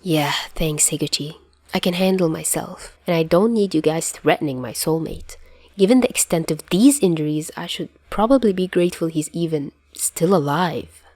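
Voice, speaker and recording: A woman's voice: low-pitched, normal speed, a little mellow, no echo. A woman voicing a guarded, sullen teenage schoolboy who shrugs off hurt in an audio fan fiction. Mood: awkward, dry.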